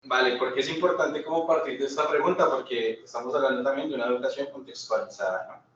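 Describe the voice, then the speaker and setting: A man's voice: medium pitch, fast, a bit bright. Colombian man in a very echoey room. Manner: conversational, checks in often with short tag questions. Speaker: seminar speaker. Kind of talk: informal presentation with questions to the audience. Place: indoor seminar room. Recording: very echoey room, distant muffled mic, faint noise.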